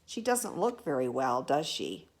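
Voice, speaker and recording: Slow, animated woman reading to children. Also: extremely low pitch. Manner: expressive, asks listeners questions about pictures. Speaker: storyteller. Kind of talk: children's picture-book read-aloud.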